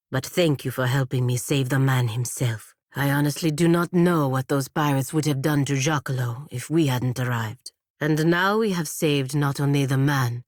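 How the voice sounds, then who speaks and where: An actress playing a proud, stern, loyal warrior envoy in a fantasy video game, speaking in a formal, deliberate, earnest manner. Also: female voice, very deep voice, darkish tone, no room sound, clean studio sound. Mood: subdued, grateful. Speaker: game character voice actor. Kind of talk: scripted fantasy game dialogue.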